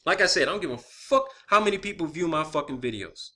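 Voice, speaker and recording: A man's voice: on the high side, animated, fast, bright, forceful tone. A man delivering an unscripted, profane rant in a blunt, emphatic manner, often repeating phrases for emphasis, as an online video creator.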